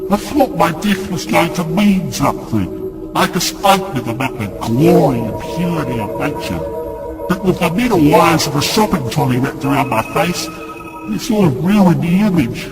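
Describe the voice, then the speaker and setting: A man's voice: a little high, normal speed, music in the background, poor audio. A man playing a wry bloke telling of his silly death. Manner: wry, deadpan storytelling. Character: laid-back man recounting his absurd death. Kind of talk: radio drama acting.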